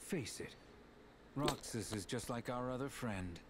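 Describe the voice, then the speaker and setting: An actor playing a cold, aloof young villain in a game drama, measured and stern. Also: male voice, mid-range voice, noisy background, close to an everyday voice. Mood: grave, cold. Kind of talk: dramatic video game voice acting.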